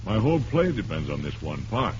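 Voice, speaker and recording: An actor playing a successful playwright in an old-time radio drama, gentlemanly and condescending, hesitating as he politely deflects. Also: low-pitched, big pitch swings, brisk. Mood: awkward, evasive. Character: successful, uneasy male playwright, politely brushing off pleas. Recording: muffled, hissy old radio recording.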